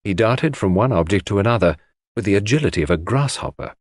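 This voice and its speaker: A man's voice: on the low side, expressive, quick pace, dry recording, studio quality. A man narrating a story in the first person as a scripted audiobook.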